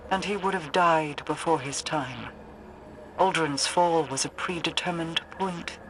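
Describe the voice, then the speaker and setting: An actress playing a regal, calculating queen in a video game, speaking in a stately, composed and deliberate monologue. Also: low-pitched, background noise. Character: regal, calculating queen reflecting on a lost brother. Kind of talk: dramatic scripted game monologue.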